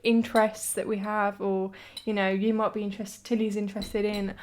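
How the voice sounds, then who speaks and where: A woman's voice: medium pitch, level tone, moderate pace, darkish tone, a little hiss or hum. Woman, young adult, co-host, hesitant, casual chat. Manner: agreeable, hesitant, stumbles over words. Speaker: podcast co-host.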